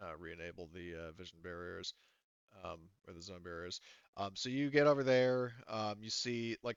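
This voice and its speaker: Guy game mastering, lowish clean voice, kinda halting as he thinks, dull dry audio. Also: fairly bright tone, smooth, no room sound. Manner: halting, thinking aloud while running the game. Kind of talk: casual narrating of a tabletop roleplaying game.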